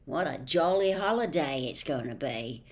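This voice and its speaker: A woman's voice: low voice, animated, normal speed. A woman voicing a frank, grumbling schoolboy sidekick in a storybook, with a knowing, matter-of-fact manner. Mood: wry.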